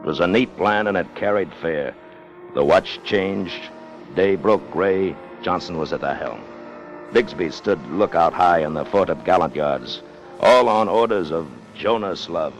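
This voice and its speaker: An actor playing a ruthless, commanding ship's officer who plots mutiny for gold, in a forceful 1950s radio drama style. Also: male voice, sits low, measured pace, lo-fi sound, faint music behind. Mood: grim narration. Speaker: lead character and narrator. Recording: noisy vintage 1950s radio recording.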